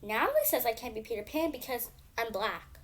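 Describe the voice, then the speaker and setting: A woman's voice: on the high side, very animated, a little fast. A woman voicing an eager, determined young girl in a children's story, speaking earnestly. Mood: hurt.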